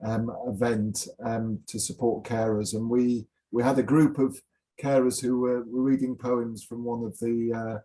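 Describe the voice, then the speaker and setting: Mellow, halting male event host. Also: mid-range voice, level tone, rough recording. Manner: hesitant, halting, polite. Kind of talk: informal spoken introduction.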